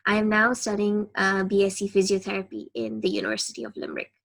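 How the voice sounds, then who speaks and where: A young woman who grew up in Saudi Arabia speaks as a student guest on a video call. Her voice is mid-pitched and easygoing, with frequent ums. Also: very animated, quick pace. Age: young adult.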